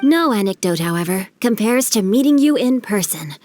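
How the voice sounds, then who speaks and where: A woman's voice: medium pitch, lively intonation, normal speed, a little mellow, no room sound, faint music behind, lightly acted. A woman voicing a refined, bookish teenage boy with an eloquent, polite manner in a game dub. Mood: courteous. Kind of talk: game cutscene dub acting.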